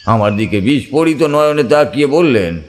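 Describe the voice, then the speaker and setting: A man's voice: mid-range voice, animated, normal speed, a little hiss or hum. A man narrating a first-person horror audiobook with suspenseful, theatrical phrasing over faint music. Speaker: story narrator.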